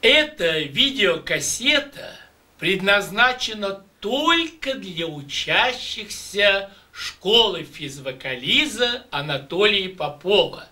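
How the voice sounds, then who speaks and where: An older man teaching voice exercises to students, speaking emphatically and deliberately in a small room, recorded by a distant camera mic. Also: high-pitched, sharp tone. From Russia. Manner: emphatic, declamatory, slow and deliberate. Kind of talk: demonstrating exercises and addressing students. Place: small room with patterned curtains. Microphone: camera microphone at a distance.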